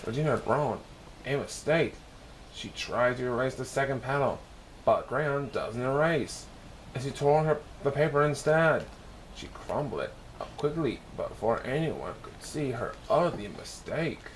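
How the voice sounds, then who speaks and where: A man with a mid-pitched voice reads a children's story aloud. He narrates as a storyteller. The recording has clear noise behind him. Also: expressive, unhurried, low-quality recording. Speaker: storyteller reading a picture book.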